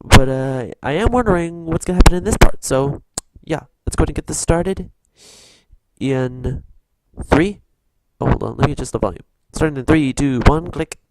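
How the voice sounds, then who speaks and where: A host giving casual, off-the-cuff reaction commentary in a hesitant, halting way. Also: medium pitch, expressive, rough recording.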